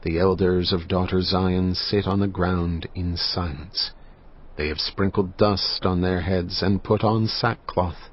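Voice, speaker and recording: A man narrating scripture in a dramatized style, with a solemn, measured, grave delivery. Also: low-pitched, fairly even intonation. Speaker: Bible narrator. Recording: slightly muffled, faint music behind.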